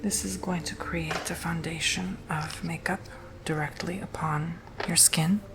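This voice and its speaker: A man whispering softly and gently through an ASMR roleplay, as if doing someone's makeup. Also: a little high, fairly even intonation, creaky, very noisy. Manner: soft whispering, slow and gentle.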